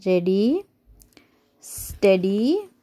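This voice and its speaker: A woman reading a children's picture book aloud as a storyteller, deliberate and a little halting in places. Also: mid-range voice, sing-song, mellow.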